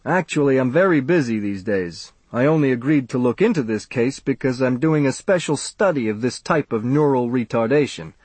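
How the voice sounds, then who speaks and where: A man voicing a fat, self-important older doctor in an audiobook, with a pompous, measured, clinical manner. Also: medium pitch, expressive, a little mellow, slightly rough, no echo. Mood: pompous, affronted.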